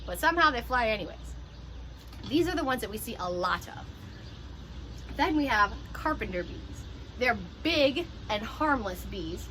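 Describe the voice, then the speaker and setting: High-pitched woman reading to young children. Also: pushed and bright, slight background noise. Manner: simple, child-directed explaining. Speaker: teacher reading a children's book aloud. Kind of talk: picture-book read-aloud with simple explanations for young children.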